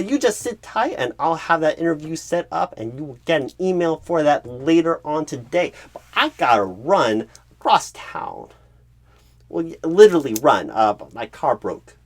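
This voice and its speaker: A man voicing a clueless, bumbling job recruiter in an ASMR roleplay, earnest and scatterbrained, with halting, oddly stressed phrasing and a husky, breathy voice. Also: a little high, dry recording, exaggerated voice. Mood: flustered, apologetic. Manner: halting, oddly stressed phrases in a Christopher Walken-style impression.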